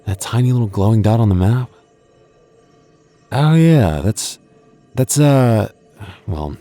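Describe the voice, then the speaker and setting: A man's voice: low-pitched, mellow. A man voicing a boyfriend in an ASMR roleplay, stammering through restarts and teasing playfully.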